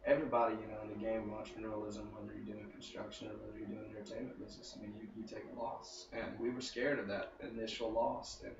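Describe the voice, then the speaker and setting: Fast-talking, low-voiced young man. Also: little pitch movement, background noise. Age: 20s. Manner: emphatic, confident declarations. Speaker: entertainment company co-founder. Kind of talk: casual podcast interview. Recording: roomy, distant and dull sound.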